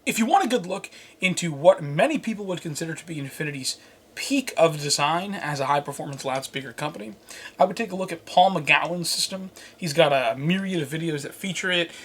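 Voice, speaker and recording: A man with a fairly high-pitched voice hosts a product review. He moves between prepared script and off-the-cuff banter, in a conversational, jokey way. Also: expressive, a little fast, a bit bright, slightly rough. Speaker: host and reviewer.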